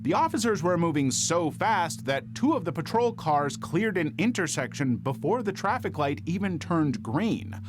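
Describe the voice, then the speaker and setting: Man narrating true crime, lively higher pitch. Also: normal speed, few pauses, noisy background.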